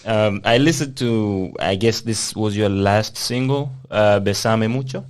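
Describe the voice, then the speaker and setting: A man's voice: on the low side, measured pace. A man hosting a casual interview in English, which is not his first language. He is playful, laughs often and repeats words as he talks. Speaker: podcast host.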